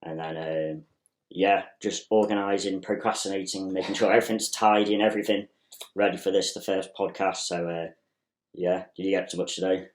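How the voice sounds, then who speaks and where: A young man from England, hosting a casual podcast chat in a relaxed, laid-back way. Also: low voice, a little fast. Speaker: podcast host. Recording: roomy echo.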